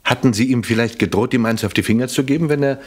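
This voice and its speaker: An actor playing a shrewd, courteous British police inspector in a German radio crime drama, speaking in a measured, drily insinuating way. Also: male voice, medium pitch, darkish tone, slightly rough, close to an everyday voice. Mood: probing, measured.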